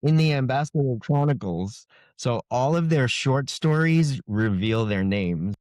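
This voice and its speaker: A man's voice: medium pitch, animated, a little slow, darkish tone, dry recording. A man talking casually as an interviewed author, explaining things in a wry, good-humored way. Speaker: fantasy author being interviewed.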